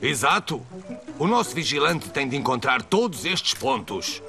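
A man's voice: medium pitch, big pitch swings, measured pace, gravelly, over music. A man voicing a kindly, playful older grandfather playing sea captain in a children's cartoon, with a European Portuguese accent. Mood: warm, encouraging.

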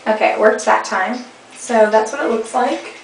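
A young woman with a slightly hoarse voice, chatting casually through a hair tutorial with rambling fillers, recorded on a webcam at home. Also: on the high side, moderate pace. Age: young adult. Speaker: beauty vlogger. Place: indoor room at home. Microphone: webcam at arm's length. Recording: echoey room, faint music behind.

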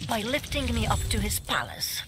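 A woman playing a cold, haughty, devoted female assassin in a fighting video game, speaking in measured lines. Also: fairly high pitch, very animated, noisy background. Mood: proud devotion. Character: cold, devoted blood-mage assassin woman serving an emperor.

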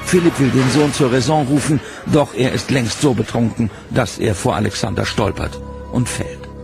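A man narrating a history documentary from a script, in a measured, dramatic way. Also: mid-range voice, vocal fry, over music.

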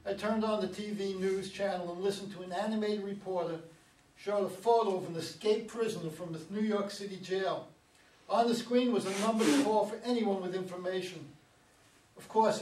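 A man's voice: high-pitched, darkish tone, close to an everyday voice. An older man playing a wary, regretful grandfather in a staged, dramatic script reading. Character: wary, regretful father and grandfather, a lonely driver. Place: meeting room with long table, indoors. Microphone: far camera. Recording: roomy, distant mic, background room noise.

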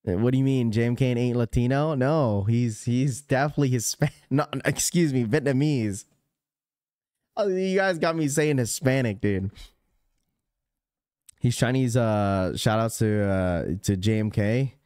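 A man's voice: medium pitch, a little slow, a little mellow, no room sound. A young man hosting a sneaker livestream from a small room with a close mic, joking loosely, laughing often and mimicking voices. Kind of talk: casual live stream chat. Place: small room with blue lighting, indoors. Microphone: studio mic on a boom arm, close.

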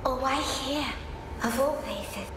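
Ghostly little sister: earnest, high-pitched, long ethereal reverb, fantasy game dialogue. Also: female voice, slow pace, noisy background. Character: ghostly girl spirit, earnest younger sister.

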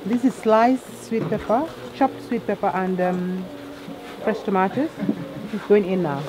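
A woman's voice: medium pitch, a little slow, background noise. A middle-aged woman with a Gambian accent, explaining cooking and local life as she hosts. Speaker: host, cook and charity founder. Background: background music.

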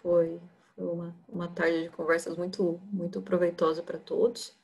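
A young woman wraps up a seminar in a polite, formal manner over a video call. Her voice is lowish and husky, with noticeable vocal fry. She speaks from an office in front of a webcam. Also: level tone, normal speed, darkish tone, muffled recording. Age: young adult. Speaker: seminar moderator. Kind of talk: polite closing remarks. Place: office room.

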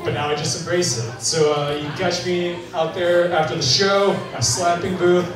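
Young guy doing deadpan standup, kinda high voice, boomy bar echo from far back. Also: moderate pace. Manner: deadpan, dry delivery. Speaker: stand-up comedian. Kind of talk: stand-up set. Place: bar stage with a live audience. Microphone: handheld mic, filmed from far in the crowd. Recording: echoey bar sound from far off, crowd laughter.

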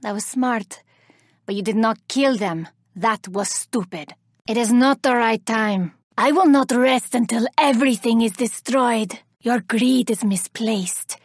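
A vengeful female reaper from a video game, speaking in a fairly high voice with cold, theatrical menace. She is haughty and scornful as she heralds destruction. The recording is close and very dry. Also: measured pace, no echo. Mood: haughty contempt. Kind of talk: video game character voice lines.

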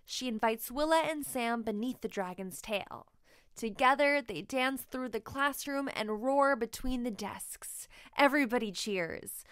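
Woman, higher-pitched, smooth clear voice, expressive children's storyteller, deliberate emphatic phrasing. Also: no echo. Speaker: children's book narrator.

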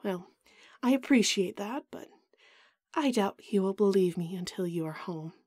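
A woman's voice: mid-range voice, animated, moderate pace, darkish tone, no room sound, studio quality, lightly acted. A woman playing a vain, haughty goddess in an audio roleplay drama, melodramatic and put-upon with a whiny edge. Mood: resigned.

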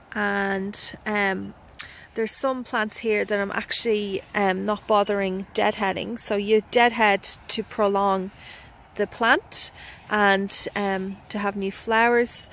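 A woman's voice: mid-range voice, fairly even intonation, slow pace, fairly bright tone, a little hiss or hum, low-quality recording. Chatty Australian woman touring her garden. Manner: chatty and enthusiastic, frequent ums. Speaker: gardening vlogger. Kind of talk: casual garden tour walkthrough. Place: outdoors in a home garden. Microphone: handheld phone camera.

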